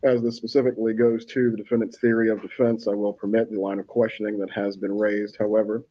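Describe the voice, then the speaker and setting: Male judge, husky dark voice, talks quick, muffled courtroom feed. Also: fairly low pitch, little pitch movement. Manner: measured, formal. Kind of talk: formal courtroom rulings. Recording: muffled courtroom broadcast audio.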